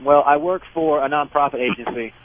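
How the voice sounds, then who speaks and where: A man phones in to a talk show on a tinny, narrow line. His voice is slightly high. He explains himself in a halting, casual way, often repeating phrases. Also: crowd noise. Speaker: radio show caller.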